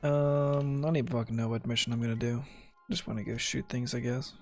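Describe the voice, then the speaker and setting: A woman's voice: rumbling low, fairly even intonation, brisk, faint music behind. A woman chatting casually while gaming, irreverent and sweary.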